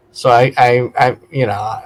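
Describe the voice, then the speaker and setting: Guy doing a casual rambling vlog, average pitch, chatty and keeps correcting himself. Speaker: video host, vlogger.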